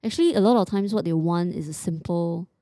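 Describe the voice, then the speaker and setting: A woman's voice: fairly low pitch, fairly quick, warm tone, no echo, clean studio sound. A woman podcast host in casual, reflective conversation, often checking for agreement with a short tag question. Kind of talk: casual chat.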